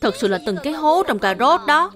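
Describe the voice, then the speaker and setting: A woman's voice: high voice, big pitch swings, brisk, a bit bright. A woman voicing a kind, sincere young schoolboy in a cartoon dub, earnest and childlike. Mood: reassuring. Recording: music behind the voice.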